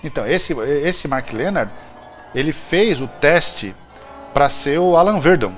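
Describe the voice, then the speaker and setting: A man's voice: fairly high pitch, expressive, measured pace, faint music behind. A Brazilian man hosting a casual, colloquial chat show, repeating himself for emphasis. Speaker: host. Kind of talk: casual informal chat about old TV series.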